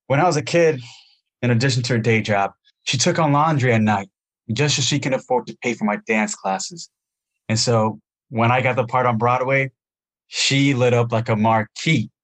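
A man voices a young Broadway chorus dancer in an audio play, stranded in the city. He speaks earnestly and pleads at a medium pitch, sounding sincere and eager to please. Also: close to an everyday voice, poor audio. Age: young adult.

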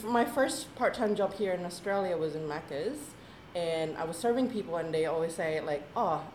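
A woman's voice: on the low side, moderate pace, slight background noise. Indonesian woman with American-tinged English. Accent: American-sounding English. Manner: chatty, full of fillers and lively retold quotes. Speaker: guest. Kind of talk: casual conversation. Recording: echoey room.

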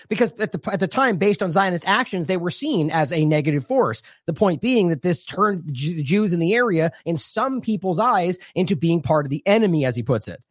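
News host, male, somewhat high pitch, rambling explainer who reads quotes aloud, dry booth sound. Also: dry recording. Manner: discursive, self-correcting, reads quotes aloud. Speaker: independent news show host. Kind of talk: commentary over played clips.

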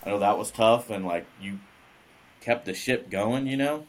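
A man's voice: on the low side, moderate pace. A man hosting a podcast interview, talking in a laid-back, rambling way full of fillers. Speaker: podcast host. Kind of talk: casual interview chat.

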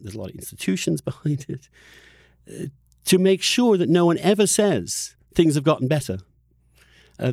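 A man's voice: a little high, vocal fry, darkish tone, no room sound, clean studio sound. A middle-aged man hosting an opinionated interview conversation, arguing his points emphatically with the odd chuckle. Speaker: podcast host.

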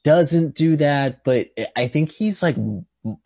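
A man chatting casually as a podcast co-host, thinking aloud in a halting, tentative way. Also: on the high side, animated, dark tone. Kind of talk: casual literary chat.

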